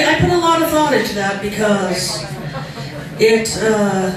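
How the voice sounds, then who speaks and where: A loud woman tosses out dry, sarcastic roast jokes. She is heard from far off in a strongly echoing hall. A big crowd laughs and chatters behind her. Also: fairly high pitch. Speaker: sister-in-law giving a roast speech. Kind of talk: roast speech. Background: laughing audience.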